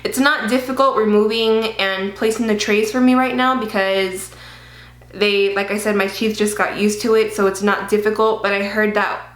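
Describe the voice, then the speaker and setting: A woman's voice: mid-range voice, a bit bright. A woman talking casually in a personal vlog, with lots of fillers, occasional swearing and a slight lisp from dental aligners. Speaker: vlogger. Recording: roomy echo.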